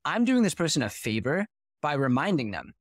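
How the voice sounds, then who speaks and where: A man in his thirties, a startup founder, telling stories in an articulate, animated way as a podcast guest on a video call. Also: on the high side, a little fast, no echo, clean studio sound. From United States. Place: small room with curtain backdrop. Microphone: headset with close mic.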